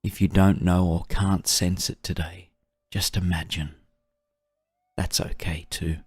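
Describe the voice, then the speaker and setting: Deep, slow, breathy male meditation guide. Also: flat delivery, no echo, clean studio sound. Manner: soothing, gentle, unhurried delivery with long pauses.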